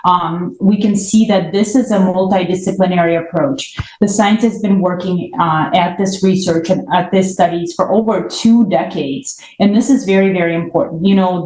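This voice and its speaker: An echoey room surrounds a woman with a slightly low voice. She moderates a panel conversationally and appreciatively, circling back over her phrases as she talks. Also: dark tone. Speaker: forum discussion host. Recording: roomy, echoing voice pickup.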